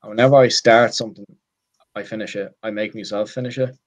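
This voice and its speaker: A young Irish man in his twenties with a lowish voice. He chats as an interview guest, circling his point with restarts and fillers like "look" and "I suppose", and pokes fun at himself. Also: steady tone, quick pace, dull audio. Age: 20s. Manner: rambling, self-deprecating, frequent restarts and fillers like "look" and "I suppose". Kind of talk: casual interview chat.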